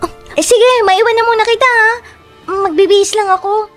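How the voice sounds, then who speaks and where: Woman as hurt, secretive fairy-tale girl; very high voice, soft music bed. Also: fairly even intonation, brisk. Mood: evasive. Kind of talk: Tagalog fairy-tale drama voice acting. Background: faint music.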